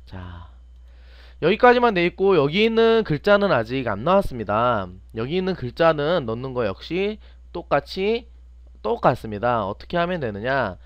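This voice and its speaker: A man's voice: a little high, big pitch swings, normal speed, no echo, highs cut off. A man teaching an unscripted step-by-step screen tutorial, often asking questions and answering them himself. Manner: asks and answers own questions. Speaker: teacher, online programming instructor.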